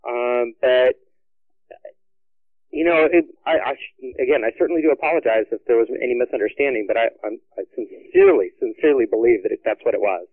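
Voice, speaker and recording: A man working as a store manager, answering a call in a hesitant, apologetic way with many halting restarts. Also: mid-range voice, no room sound. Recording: tinny phone line.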